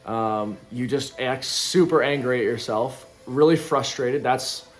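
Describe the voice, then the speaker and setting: A man's voice: mid-range voice, unhurried, soft background music. A man of thirty talking loosely to camera in a casual reflective vlog, rambling with plenty of ums and likes. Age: 30s. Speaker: vlogger. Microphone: camera in front of him.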